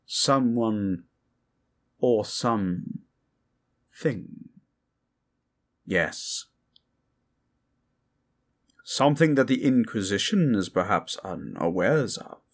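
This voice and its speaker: A man voicing a grave skeleton-faced wizard with a low gravelly voice and a measured, formal manner, in a fantasy ASMR roleplay. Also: lively intonation, full character voice. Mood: ominous. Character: grave, scary skeleton-faced male wizard, wise quest-giver.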